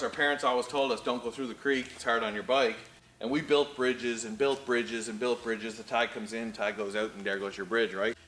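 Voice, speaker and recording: Bright-voiced Canadian Maritime man telling stories. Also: mid-range voice, slight background noise. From Prince Edward Island, Canada. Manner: folksy, animated, conversational. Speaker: car dealership storyteller and host. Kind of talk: casual anecdotal storytelling.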